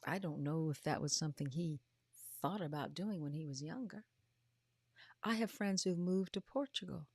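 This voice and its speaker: A woman in her fifties or older giving a motivational talk as a host, with emphatic, drawn-out delivery that often drops into breathy, hushed phrases. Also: low-pitched, no echo, studio quality. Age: 50s or older. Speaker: podcast host and coach. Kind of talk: motivational livestream talk to followers.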